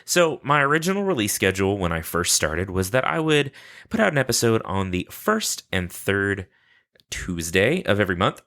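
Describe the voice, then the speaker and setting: A man's voice: fairly low pitch, big pitch swings, moderate pace, bright, forceful tone. A man hosting a podcast in casual, unscripted solo talk, chatty and rambling, with enthusiasm and self-deprecating asides. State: sleepy. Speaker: podcast host.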